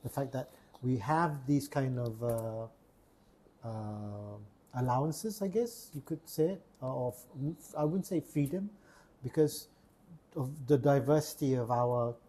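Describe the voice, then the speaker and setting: A man's voice: mid-range voice, expressive, slow pace, dark tone, rough recording. A man from Sarawak with a Malaysian accent, hosting a casual podcast chat and often repeating words as he searches for a phrase. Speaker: podcast host.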